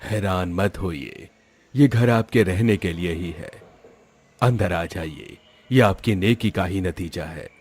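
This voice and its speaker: A man voices a kindly enchanted apple from an animated moral tale. The voice is low and slow. It speaks reassuringly, like a gentle granter of wishes. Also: breathy edge, full character voice. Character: kind magical talking apple that grants wishes. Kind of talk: Hindi animated moral story dialogue.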